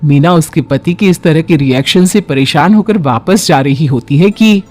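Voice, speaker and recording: A woman's voice: sits low, normal speed, darkish tone, soft background music. A woman giving scripted storytelling narration for a Hindi family cartoon.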